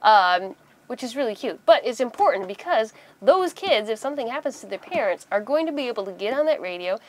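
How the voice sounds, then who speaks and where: A woman's voice: fairly high pitch, expressive, fairly quick, darkish tone. A woman in her thirties giving a casual, conversational tutorial as an instructor, recorded indoors on a clip-on mic. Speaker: sailing vlogger and instructor. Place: small wood-panelled boat cabin, indoors.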